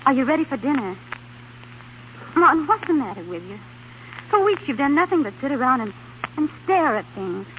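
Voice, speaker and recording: Radio drama role: devoted young wife, high voice, folksy and fretful, very thin vintage broadcast sound with hiss. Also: female voice, big pitch swings, normal speed, pauses often. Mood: worried. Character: devoted, anxious, plucky young wife of a scientist. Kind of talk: old-time American radio drama acting. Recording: tinny, noisy vintage radio recording.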